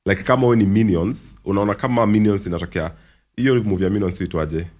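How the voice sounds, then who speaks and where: A man's voice: on the low side, fast, a little mellow. A young Kenyan man, a voice-over artist, chatting casually on a podcast, explaining with examples and laughing often. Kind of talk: casual podcast chat in mixed Swahili and English.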